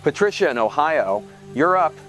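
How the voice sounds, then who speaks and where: A man playing a brisk late-night radio host fielding callers on a talk show. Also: on the high side, very animated, a bit bright, soft background music. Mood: measured, probing. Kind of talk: call-in radio show acting.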